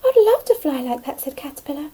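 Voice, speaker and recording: A woman's voice: sits high, sing-song, quick pace, dark tone, pitched above the performer's own voice. A woman voicing a wistful, hungry little male caterpillar in a children's storybook. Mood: wistful wonder. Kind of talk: children's storybook read aloud.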